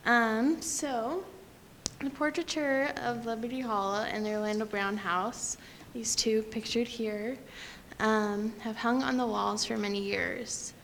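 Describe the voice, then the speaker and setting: A young woman from Utah giving a prepared lecture at a podium, talking quietly with frequent ums. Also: fairly high pitch, fairly even intonation, takes it slowly, a little hiss or hum. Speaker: guest lecturer.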